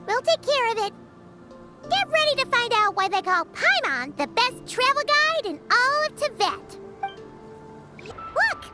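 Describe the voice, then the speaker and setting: Chirpy childlike fairy guide, game role. Extremely high squeak, very animated, loud music underneath. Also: female voice, full character voice. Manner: squeaky, bubbly, refers to herself in third person. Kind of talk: scripted video game voice acting. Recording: prominent music behind the voice.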